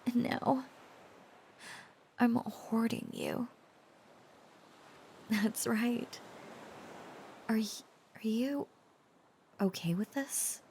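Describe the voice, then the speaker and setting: A woman playing a tender, protective dragon-blooded girlfriend in an intimate romantic audio roleplay, halting and earnest, often slipping into whispers. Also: fairly high pitch, warm tone, a little hiss or hum. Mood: tender, adoring. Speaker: voice actor.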